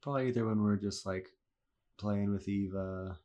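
A man's voice: fairly low pitch, fairly even intonation, moderate pace, mellow, muffled recording. A young man chatting casually as a podcast guest, laid-back and full of "like" and "you know", with a stuffy nose. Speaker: podcast guest, host's husband. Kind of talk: casual chat.

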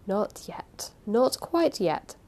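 A woman's voice: medium pitch, very animated, drawn-out words, full character voice. Woman voicing a kindly, coaxing little old man. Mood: soothing. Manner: gentle, coaxing, short repeated phrases. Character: small kindly old uncle, soothing and exclamatory. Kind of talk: audiobook character voice.

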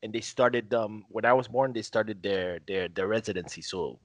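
A young man from Haiti, a podcast guest telling his story in relaxed, casual conversation with many fillers and laughs. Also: on the low side, moderate pace, no room sound.

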